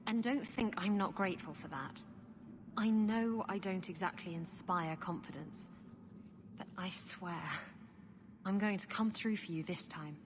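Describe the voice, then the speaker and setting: A woman's voice: mid-range voice, a little slow, long reverb tail, background noise, close to an everyday voice. An actress playing a brash but remorseful female rogue adventurer in a video game, speaking earnestly with a wry edge. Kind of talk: video game cutscene acting.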